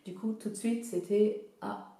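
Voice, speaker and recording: Woman from Zimbabwe, English-native, art therapist; dark gravelly tone, halting delivery, roomy echo. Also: mid-range voice, fairly even intonation. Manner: hesitant, searching for words, many fillers. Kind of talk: casual interview. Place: studio workshop.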